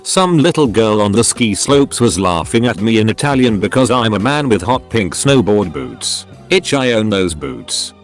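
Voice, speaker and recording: A man with a fairly low voice narrates story after story, his pitch rising and falling in an animated, expressive way. It is a scripted read of online posts. Soft music plays faintly underneath. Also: moderate pace, hardly any pauses.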